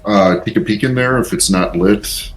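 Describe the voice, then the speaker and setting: A man's voice: on the low side, moderate pace, faint music behind, low-quality recording. A man talking casually and briefly as a player in a tabletop role-playing game.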